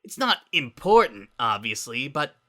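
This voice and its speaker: A man's voice: mid-range voice, big pitch swings, unhurried, pushed and bright. A man voicing a brash, eager, sporty teenage girl in a story reading.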